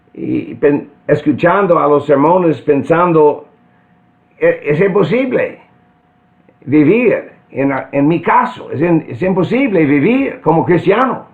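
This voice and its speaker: An older man from central Kansas with an American accent, preaching an earnest sermon and pausing to restart phrases. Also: fairly high pitch. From central Kansas, United States. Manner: deliberate, earnest, with frequent restarts. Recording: tinny phone-like sound.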